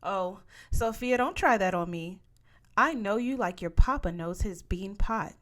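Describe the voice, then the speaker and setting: Storybook mother, played by a female narrator: firm, coaxing, commanding, quick to tease. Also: mid-range voice, animated, fairly bright tone. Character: firm, no-nonsense Mexican American mother, teasing.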